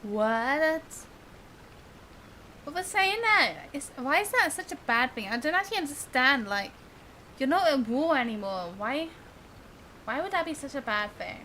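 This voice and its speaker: Chatty girl streamer lady, high bright voice, casual game commentary, bit of music behind. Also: sing-song, unhurried, long pauses, slight background noise. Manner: reacting lightly, chatty, giggly.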